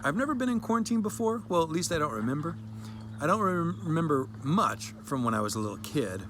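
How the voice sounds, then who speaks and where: A man performs a young girl who is thoroughly fed up with quarantine. The delivery is childlike, earnest and emphatic, repeating itself in a dramatic reading of a sad little story. There is clear noise behind the voice. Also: a little high, sing-song, fairly quick, voice pushed up. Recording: clearly noisy background.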